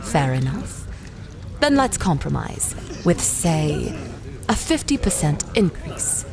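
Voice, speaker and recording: A woman's voice: low-pitched, big pitch swings, slow, vocal fry, a crowd in the background, full character voice. A woman playing a formal, dryly polite envoy for a wealthy employer in a 1930s adventure audio drama. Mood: negotiating. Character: composed, businesslike female envoy of a wealthy employer. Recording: music behind the voice.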